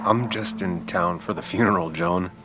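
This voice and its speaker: A man's voice: low voice, normal speed, over music, lightly acted. A man playing a guarded, wry ex-journalist in a video game, speaking in a subdued, dry way. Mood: guarded, sombre. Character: brooding ex-journalist man back in his hometown, guarded, wry. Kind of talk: naturalistic video game acting.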